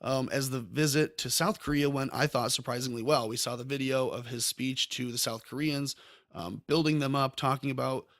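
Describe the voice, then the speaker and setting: A man's voice: mid-range voice, steady tone, moderate pace, a bit bright, no room sound, clean studio sound. A man hosting a political talk podcast, musing at length with many ums and self-corrections. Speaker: podcast host. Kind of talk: political commentary chat.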